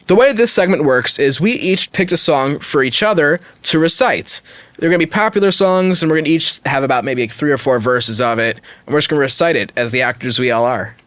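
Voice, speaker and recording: A man's voice: fairly high pitch, fairly quick, a bit bright, no echo. A man hosting a podcast with casual, comedic banter. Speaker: podcast host.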